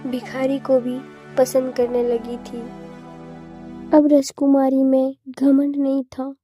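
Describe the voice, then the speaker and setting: Slow, very high-pitched woman narrating a story. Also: monotone, mellow, highs cut off, faint music behind. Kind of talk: scripted children's story narration in Hindi.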